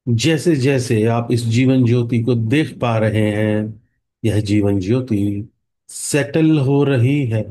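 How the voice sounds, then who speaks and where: An Indian man guides a meditation in a mellow, relaxed voice. He speaks extremely slowly at a medium pitch, in short, calming phrases with long pauses between them. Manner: slow, hushed calming delivery with long pauses.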